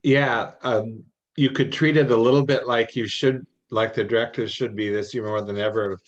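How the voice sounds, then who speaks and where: A man's voice: mid-range voice, steady tone, normal speed. A man giving informal advice as a co-presenter in a meeting, on dull, lo-fi audio.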